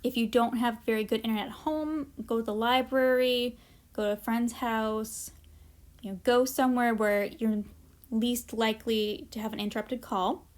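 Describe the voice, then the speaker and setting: A woman's voice: fairly high pitch, steady tone, normal speed. A woman presenting an informal instructional workshop, explaining practical tips in a conversational way with casual asides.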